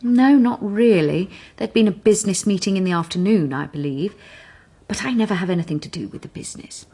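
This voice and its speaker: An actress playing an aloof, snobbish wealthy widow in a radio drama, cool, languid and evasive. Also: female voice, mid-range voice, a little fast, mellow, close to an everyday voice.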